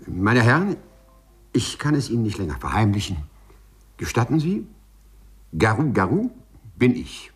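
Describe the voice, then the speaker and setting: An actor playing a meek but dignified, secretly proud clerk in a 1950s radio play, speaking formally and politely. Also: male voice, medium pitch, very animated, normal speed, long pauses, husky. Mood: solemn confession.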